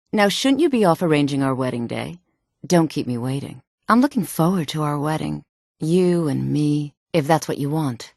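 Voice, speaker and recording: Exiled noblewoman, sultry, low-pitched, imperious and secretive, formal dramatic phrasing, fantasy game dialogue, dry studio sound. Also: warm tone, no echo. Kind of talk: fantasy video game voice acting.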